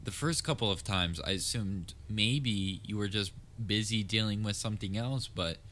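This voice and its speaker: A very low-voiced, theatrical supervillain played by a man with a crisp, forceful edge. He is pompous and dryly sarcastic, a grand nemesis from a comedic audio roleplay who secretly cares about his rival. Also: moderate pace, a little hiss or hum, close to an everyday voice. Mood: concerned, exasperated. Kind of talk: scripted audio roleplay, comedic villain monologue.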